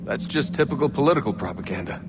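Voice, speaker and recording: An actor playing a wry, easygoing young American hero in a video game, talking in a casual, plain-spoken way. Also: male voice, medium pitch, lively intonation, quick pace, over music, close to an everyday voice. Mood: reasoning. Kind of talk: acted video game dialogue.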